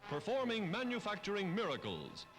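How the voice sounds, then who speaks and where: A man's voice: sits high, huge pitch swings, moderate pace. An American man narrating a scripted 1940s newsreel in a dramatic, emphatic, declamatory manner, on a vintage film soundtrack. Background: orchestral music.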